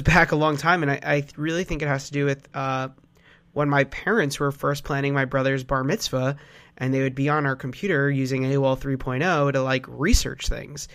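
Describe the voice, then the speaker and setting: A man's voice: fairly high pitch, fairly bright tone. A young man hosting a casual solo podcast, rambling with many fillers and restarts, sounding nasal from a cold. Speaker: podcast host.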